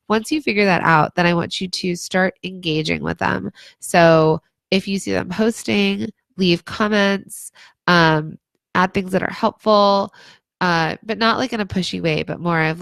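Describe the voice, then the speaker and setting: Hoarse lady doing a chatty facebook live, low gravelly voice, lots of likes and ums. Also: dry recording. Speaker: brand consultant. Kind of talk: casual livestream advice talk.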